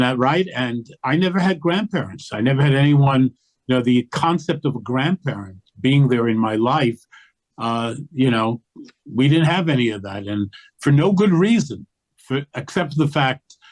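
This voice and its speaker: A man's voice: medium pitch, lively intonation, moderate pace. An older man and grandfather, speaking as an interview guest in a passionate, emphatic way. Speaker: guest, activist. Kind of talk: interview conversation.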